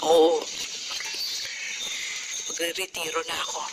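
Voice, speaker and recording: A woman's voice: sits low, flat delivery, takes it slowly. A woman playing a weary club hostess. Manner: earnest, tired. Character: weary young woman, nightclub hostess, protective older sister. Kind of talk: radio drama acting. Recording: heavy background noise.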